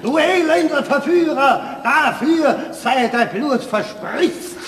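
A man's voice: very high voice, animated, slow, full character voice. An actor playing a bombastic country man in a parodic alpine radio melodrama, declaiming and exclaiming. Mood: furious. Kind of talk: parodic live radio play acting. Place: TV studio stage. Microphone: stand microphone. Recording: echoey noisy old videotape, loud audience.

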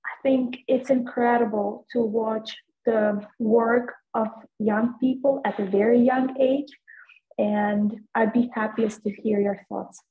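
Unhurried woman on a thin call. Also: fairly high pitch, steady tone. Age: young adult. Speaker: panel participant asking a question. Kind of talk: polite panel question. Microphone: earbud on video call. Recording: thin phone-like video call.

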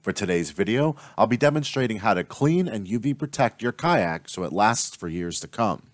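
Man with a lively, dry voice-over. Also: on the low side, normal speed, dry recording. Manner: steady instructional delivery. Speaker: outdoors channel host. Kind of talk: scripted voice-over tutorial.

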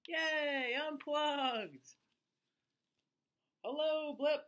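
A man in his thirties reading a picture book aloud to children like a teacher, with an exaggerated, drawn-out delivery. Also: very high voice, pushed and bright, a little hiss or hum. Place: small indoor room, seated facing camera.

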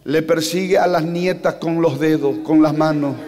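Impassioned Paraguayan pastor, Guarani native, high-pitched male voice, preaching into a handheld mic in a big reverberant church hall. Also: fairly even intonation, a crowd in the background. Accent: Paraguayan Spanish. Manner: impassioned, rising to shouts, slows for emphasis. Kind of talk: sermon. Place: large church hall on a stage. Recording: long reverb of a large hall.

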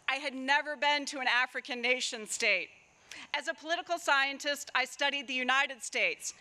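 A middle-aged woman, a political scientist, giving a formal prepared keynote speech at an outdoor lectern with measured, deliberate pauses. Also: high-pitched, sharp tone, clean tone. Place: outdoors at a stone university building, ceremony. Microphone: lectern microphones.

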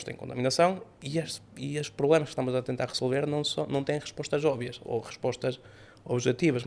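A man in his thirties, not a native Portuguese speaker, explaining ideas in an animated podcast interview. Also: mid-range voice, creaky. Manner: animated, gestures with both hands while explaining. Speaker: AI company CEO guest. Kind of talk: conversational interview answers. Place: podcast studio room with sofas. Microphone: studio mic on boom arm.

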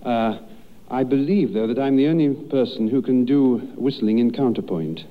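A man's voice: medium pitch, normal speed. Polite young Englishman on muffled 1930s radio. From London, England. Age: young adult. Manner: polite, well-spoken, hesitant with formal phrasing. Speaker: amateur contestant.

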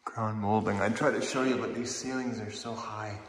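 A man's voice: on the low side, steady tone, moderate pace, slight background noise. A man getting over a cold gives informal, awed walkthrough commentary as he explores empty rooms with a handheld camera. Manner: informal, awed, mildly sweary. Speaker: urban exploration vlogger. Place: inside empty rooms of a large abandoned house.

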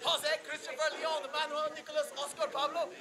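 A woman's voice: high-pitched, level tone, brisk, close to an everyday voice. A woman playing a boastful, vain male army captain in a street comedy, pompous and theatrical. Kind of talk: outdoor commedia dell'arte street show. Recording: crowd noise outdoors.

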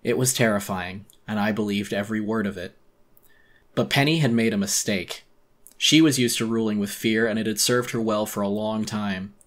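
A man narrating a horror story as a dramatic audiobook reading, intense, theatrical and deliberate. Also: fairly low pitch, fairly even intonation.

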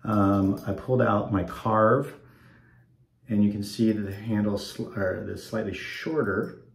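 40s guy a bit stuffed up, low gravelly voice, rambly ums, echoey bathroom. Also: measured pace. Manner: rambling, many ums, easygoing. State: slightly stuffed up. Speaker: wet shaving channel host. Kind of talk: casual talk to camera. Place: small bathroom at home, indoors.

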